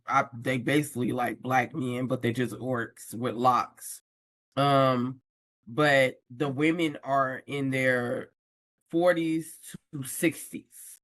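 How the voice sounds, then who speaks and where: A woman speaking as a podcast guest in an unhurried, candid way, dropping slang as she tells her story. Her voice is dry and close, with no room around it. The delivery is loose conversation, not a script. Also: a bass voice, steady tone, fairly bright tone, no echo. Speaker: podcast guest, book influencer. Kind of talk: casual conversation.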